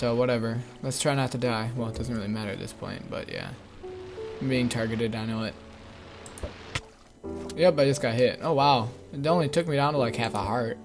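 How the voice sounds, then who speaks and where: A young man with a voice that is giving out, rambling through a casual game commentary with many fillers. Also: medium pitch. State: losing his voice. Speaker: gaming channel host. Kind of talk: casual Let's Play commentary.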